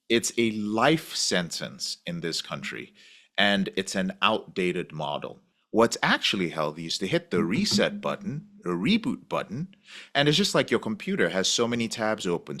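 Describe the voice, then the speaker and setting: A man who is a podcast guest, talking in an animated, casual way and acting out the voices he quotes. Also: fairly low pitch. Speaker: podcast guest, recovery program founder.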